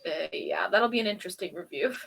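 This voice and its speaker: A woman's voice: on the low side, lively intonation, fast, gravelly, fairly bright tone, slightly rough. A woman co-hosting a casual review, chatting informally with a friend in a giggly, rambling way. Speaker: co-host of a TV episode review.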